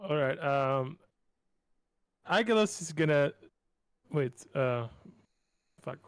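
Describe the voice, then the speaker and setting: A man's voice: fairly high pitch, expressive, slow pace, smooth, dry recording, studio quality. A man chatting casually as a player in a tabletop roleplaying game.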